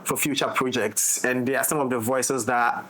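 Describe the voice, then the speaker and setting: A man speaks in a casual roundtable discussion. His pitch sits in the middle of the male range. He talks reflectively as a director, often repeating and rephrasing as he goes. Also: steady tone, normal speed, a bit bright, poor audio. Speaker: audio drama director.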